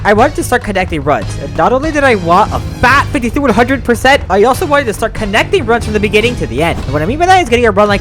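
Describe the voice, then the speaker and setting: A young man with a high voice for a man narrates his gaming vlog in an energetic, playful, self-mocking way. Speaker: gaming YouTuber and streamer. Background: background music.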